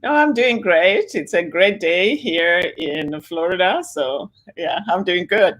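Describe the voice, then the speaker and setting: A woman's voice: lowish voice, lively intonation, takes it slowly, a bit bright. A woman in her sixties, born and raised in Zimbabwe, answering interview questions over a video call with many fillers and restarts. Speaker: interview guest.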